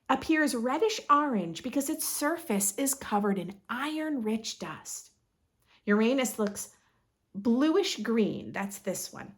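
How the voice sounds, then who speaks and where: A woman hosts a read-aloud for young children, reading at an unhurried pace with a very lively, swooping melody. Her voice sits slightly high and has a noticeable creak. She is expressive and instructive, speaking straight to her little listeners.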